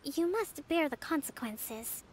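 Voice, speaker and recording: Young priestess in a game, high voice, super formal n calm but firm. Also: female voice, slight background noise. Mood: firm, authoritative. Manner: formal, measured, diplomatic. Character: composed young divine priestess, gentle but firmly authoritative. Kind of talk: video game dialogue acting.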